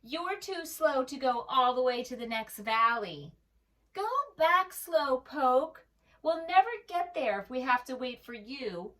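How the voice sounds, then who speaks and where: A woman voicing mean, mocking young sheep in a children's storybook, loud and jeering. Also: high-pitched, animated, a little slow, far from the mic, pitched above the performer's own voice.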